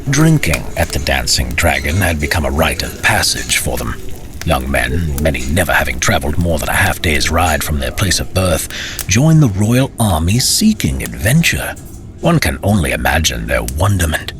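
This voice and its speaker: A man's voice: rumbling low, sing-song, normal speed. A man narrating a fantasy tale as a storyteller, with dramatic, theatrical and courtly phrasing.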